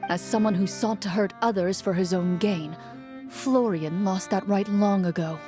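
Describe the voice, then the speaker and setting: Female game master, acted by a woman: composed, medium-pitched, formal theatrical narration for anime game, soft music bed. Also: normal speed, lightly acted. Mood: solemn, narrating. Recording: music behind the voice.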